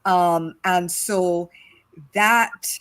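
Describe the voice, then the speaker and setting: A woman's voice: lowish voice, steady tone, extremely slow, fairly bright tone. A middle-aged woman from Barbados with a Barbadian accent, co-hosting a casual discussion and repeating her points for stress. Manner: emphatic, repeats phrases for stress. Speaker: podcast co-host.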